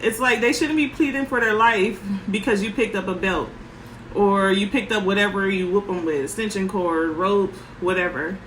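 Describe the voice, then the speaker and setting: Soft-voiced woman parenting coach. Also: mid-range voice, steady tone, moderate pace, roomy sound, a little hiss or hum, low-quality recording. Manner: frank, speaks in short emphatic sentences. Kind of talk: casual advice talk.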